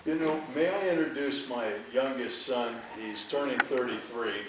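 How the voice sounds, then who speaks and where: A man's voice: medium pitch, moderate pace. An older man making casual remarks to an audience. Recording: very echoey theater, distant, loud noise.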